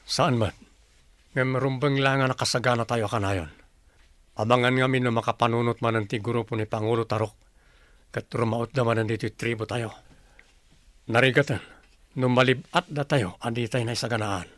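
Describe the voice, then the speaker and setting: Actor as watchful steadfast young ilocano warrior, medium pitch, dry radio drama sound. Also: male voice, steady tone, normal speed, long pauses, a bit bright, no room sound, natural voice. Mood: resolute. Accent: Filipino, Ilocano.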